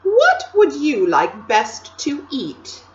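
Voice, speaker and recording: A cold, commanding storybook queen with a falsely sweet, coaxing tone, as a woman reading aloud puts her on. Her questions are drawn out slowly and her pitch swings widely. The audio is dull and lo-fi with a faint hum underneath. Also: huge pitch swings, full character voice.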